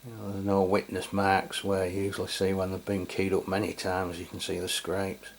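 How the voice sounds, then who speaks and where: A man's voice: deep voice, completely flat delivery, moderate pace, vocal fry, a little hiss or hum. A man giving a casual hands-on review as host, talking in a hesitant, rambling, matter-of-fact way.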